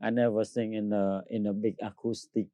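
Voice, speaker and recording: A Cambodian man who speaks Khmer as his first language and sings opera tenor, answering an interview in halting English with many fillers and frequent laughs. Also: fairly low pitch, level tone, no echo, narrow, tinny audio.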